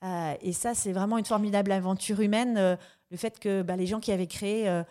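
A woman hosting a podcast interview, asking questions in a measured, thoughtful way. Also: medium pitch, steady tone, mellow. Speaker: podcast host.

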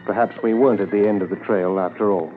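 Male actor as Canadian Mountie narrator, low register, sober and factual, 1950s radio drama, thin phone-like sound. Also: natural voice. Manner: measured, matter-of-fact. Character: sober Mountie inspector narrating a manhunt case. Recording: tinny 1950s radio transcription, faint music.

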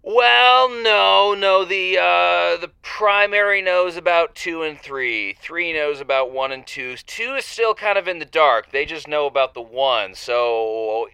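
A man acts a rambling drifter phoning an advice show. His voice is bright and crisp with a dry sound, and he stammers evasively through a loose, improvised confession style. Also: fairly high pitch, a little slow, hardly any pauses, dry recording, exaggerated voice. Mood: exasperated, candid. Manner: hesitant, stammering, sheepishly evasive. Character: shifty, rambling divorced male drifter caller. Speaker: call-in show caller. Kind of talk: semi-improvised audio drama, casual phone-in talk.

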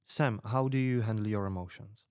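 A man playing a calm underground radio host in a fiction podcast, moderating gently. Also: fairly low pitch, moderate pace, no echo, lightly acted. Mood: calm, attentive.

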